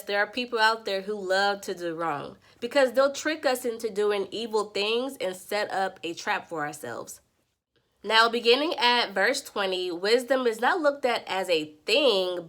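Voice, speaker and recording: A congested, stuffy-nosed woman walks her viewers through scripture in a morning devotional vlog. She teaches in an earnest, preacherly way, urging listeners toward wisdom. Her voice sits at an ordinary pitch for a woman. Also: fairly bright tone. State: congested, nasal from a sinus infection. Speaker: Bible study vlogger. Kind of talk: devotional Bible summary vlog.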